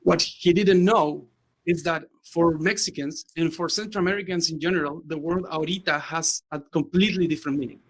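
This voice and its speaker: A man from Chile, moderating a panel in a formal, halting way that often repeats words. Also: fairly high pitch, fairly even intonation, low-quality recording. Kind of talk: moderating an online panel discussion.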